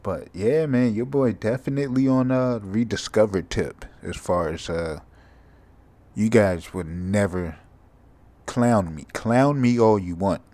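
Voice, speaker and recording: A sleepy young man with a monotone, mumbly voice, hosting a rambling, profane solo podcast. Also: fairly low pitch, measured pace. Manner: casual, profane, drifting from thought to thought. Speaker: podcast host.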